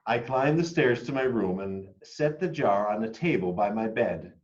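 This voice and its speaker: A man reading a children's picture book aloud expressively, moving between hushed and emphatic delivery. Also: on the low side, lively intonation, normal speed, lo-fi sound. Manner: dramatic, hushed and emphatic by turns. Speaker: read-aloud storyteller.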